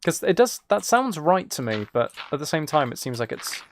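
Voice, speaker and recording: A man's voice: on the high side, sing-song, normal speed, slight background noise. A man chatting casually as he streams a game, rambling along in a self-deprecating way. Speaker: live streamer. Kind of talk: casual gaming livestream chat, commentary.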